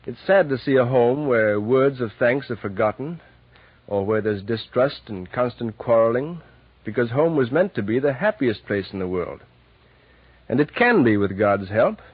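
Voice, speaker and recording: Husky man on thin vintage radio. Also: medium pitch, lively intonation, normal speed, dry recording. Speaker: program host. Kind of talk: earnest scripted radio message. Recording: thin, narrow-band 1940s radio recording.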